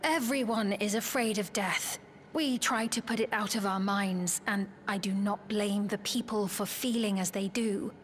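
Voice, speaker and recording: An actress playing an earnest, gentle young royal woman in a video game, speaking in a formal, measured and solemn way. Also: mid-range voice, fairly even intonation, slight background noise, lightly acted. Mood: solemn resolve. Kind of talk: dramatic video game voice acting.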